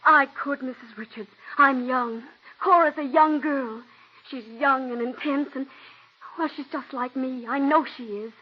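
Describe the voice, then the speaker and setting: An actress playing a young, ambitious stage actress in a 1940s radio drama, earnest and pleading with an edge of cool menace. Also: female voice, sits high, fairly even intonation, measured pace. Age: young adult. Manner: earnest and pleading, turning coolly threatening. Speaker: aspiring actress. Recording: dull old radio broadcast.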